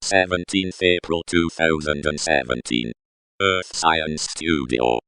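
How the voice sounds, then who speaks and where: An actor playing a solemn announcer who formally reads dates and scene headings in a radio play. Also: male voice, extremely low pitch, slow, bright, forceful tone, smooth, exaggerated voice.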